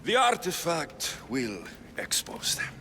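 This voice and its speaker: A gravelly masked cult leader. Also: male voice, fairly high pitch, big pitch swings, slow, a little hiss or hum, full character voice. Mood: menacing. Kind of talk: video game cutscene acting. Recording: voice over loud score.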